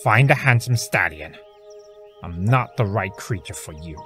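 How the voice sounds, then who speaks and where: A man's voice: fairly low pitch, animated, a little slow, bright, forceful tone, faint music behind. A man playing a bitter, self-loathing creature of chaos in an audio drama, theatrical and volatile. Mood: cold, resigned. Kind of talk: fan audio drama acting.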